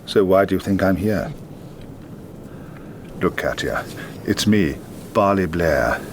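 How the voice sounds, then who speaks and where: A worldly, self-mocking man who probes with dry irony. His voice sits deep and low. A clear rumble runs through the film soundtrack. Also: animated, takes it slowly, full character voice. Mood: probing. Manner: dry, ironic questioning. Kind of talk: film drama dialogue.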